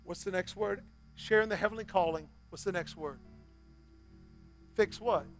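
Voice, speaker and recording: High-voiced pastor preaching with big swings. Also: male voice, normal speed, soft background music. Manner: swings from loud, high exhortation to soft, near-whispered appeals. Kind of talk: sermon. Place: church stage, indoors.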